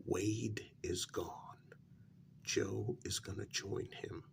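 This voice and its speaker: A man playing an anxious working-class older brother in a soft-spoken ASMR role-play, speaking in a hushed, deliberate, insistent way. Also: fairly even intonation, gravelly, heavily acted. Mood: urgent, protective. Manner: low, deliberate, insistent, half-whispered warning.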